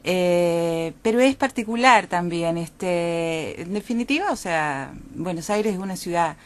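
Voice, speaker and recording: A woman from Chile answers radio interview questions as a guest poet. Her voice is on the low side, and she speaks calmly and thoughtfully, with a few fillers. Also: unhurried.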